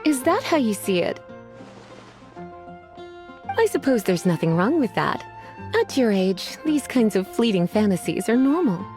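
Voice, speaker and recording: A woman's voice: mid-range voice, very animated, a little slow, long pauses, a little mellow, soft background music. A woman voicing a languid, teasing librarian mage in a video game, who drawls, sighs often and calls others cutie.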